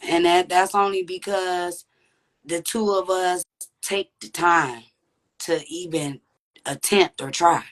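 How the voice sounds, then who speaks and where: A woman with a deep, raspy voice, speaking candidly and reflectively as a podcast guest. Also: steady tone, slow pace. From Texas. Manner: reflective, earnest, with frequent "you know what I'm saying". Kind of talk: candid conversational interview.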